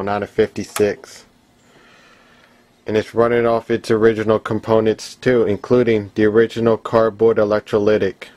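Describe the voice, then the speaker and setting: A man with a fairly low voice talks casually through a hands-on demonstration. He is plain-spoken and matter-of-fact, like a hobbyist filming at home on a camera microphone. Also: a little mellow. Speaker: vintage radio hobbyist demonstrating a repair. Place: indoors at home, voice from behind a handheld camera.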